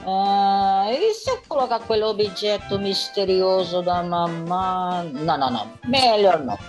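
A woman's voice: medium pitch, animated, unhurried, soft background music, natural voice. A woman playing a defiant, spiteful, scheming young woman in a comic radio soap, theatrical and prone to muttering to herself. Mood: mischievous scheming.

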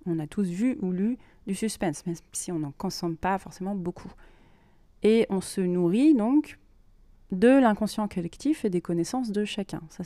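A woman hosting a podcast, explaining things informally in a monologue and pausing as she thinks aloud. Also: mid-range voice, mellow, dry recording. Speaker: podcast host and creative writing workshop leader.

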